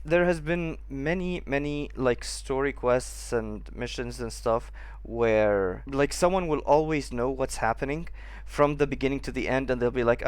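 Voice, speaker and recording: A man's voice: medium pitch, clear, resonant tone, dry recording. A man giving casual, rambling playthrough commentary as a gaming streamer, close to the mic.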